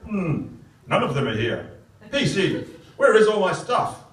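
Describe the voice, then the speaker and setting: A man's voice: sits high, very animated, measured pace, a bit bright, exaggerated voice. A man playing a vain, self-important superhero who declaims grandly and earnestly in a live stage comedy. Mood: puzzled, grand. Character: vain, boastful, self-important male superhero with heroic airs. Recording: echoey theatre, distant microphone.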